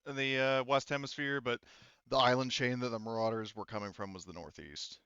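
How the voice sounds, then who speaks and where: A man with a clear, smooth voice acts as game master in casual conversation. His pitch is mid-range, and the recording is dry and slightly dull. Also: steady tone, a little fast, pushed and bright, no room sound. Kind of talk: casual tabletop game refereeing.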